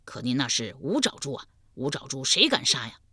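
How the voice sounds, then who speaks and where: A woman voicing a stubborn male village butcher in a lowered, gruff voice. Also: sing-song, a little fast, pushed and bright, no echo, studio quality, exaggerated voice.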